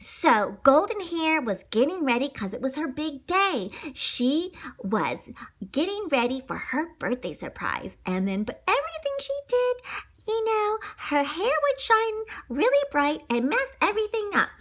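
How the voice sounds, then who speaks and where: Lady reading a kids story out loud, high bright voice, super dramatic, does sound effect words. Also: sing-song, measured pace. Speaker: storybook reader. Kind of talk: animated children's story read-aloud.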